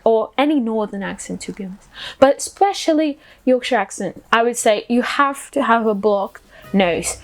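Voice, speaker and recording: A woman with a foreign accent giving a casual explainer vlog, chatty and giggly in a self-deprecating way. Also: fairly high pitch. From outside the UK. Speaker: vlogger.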